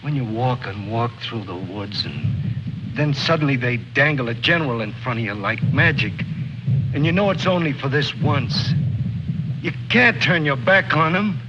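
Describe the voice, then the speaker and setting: A man's voice: medium pitch, lively intonation, moderate pace, background noise, natural voice. Brooding, bitter soldier played by a man, muffled old film. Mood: urgent, bitter. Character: brooding, bitter male soldier Mac, set on a last mission. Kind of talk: mid-century film drama acting, monologue.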